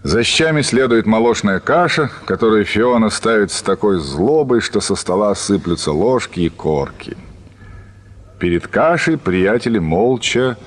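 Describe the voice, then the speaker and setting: Low-voiced man narrating a story expressively. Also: lively intonation, a little slow. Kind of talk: scripted literary narration for a radio play.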